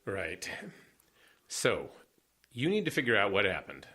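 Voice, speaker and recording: Male, guarded conspirator, very wide pitch swings, husky, terse radio-play delivery. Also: wildly swooping pitch, unhurried, pushed and bright, exaggerated voice. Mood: wary, probing. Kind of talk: audio drama acting.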